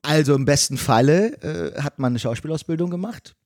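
A man's voice: on the high side, expressive, a little fast, a little mellow, no room sound, studio quality. A man with a German accent speaking casually as a podcast guest and voice actor, explaining his work with emphatic repetitions. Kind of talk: casual conversational explanation of the craft.

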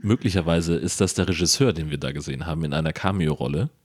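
A man's voice: a bass voice, quick pace, darkish tone, slightly rough. A man with a German accent chatting casually and with dry irony as a podcast co-host about films. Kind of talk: casual film discussion podcast.

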